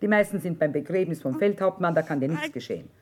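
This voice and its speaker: An actress playing a shrewd, hard-bitten middle-aged war trader in a radio drama, earthy and plain-spoken. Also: female voice, on the low side, lively intonation, fast, a crowd in the background, close to an everyday voice. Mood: coaxing, brisk. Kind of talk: stage-style German radio drama acting.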